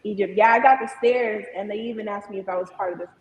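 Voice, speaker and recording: A woman's voice: medium pitch, fast, echoey room, long reverb tail, slight background noise, low-quality recording. A young woman co-hosting a podcast, talking animatedly and enthusiastically, with many "like" fillers. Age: young adult. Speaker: podcast co-host. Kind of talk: casual podcast conversation.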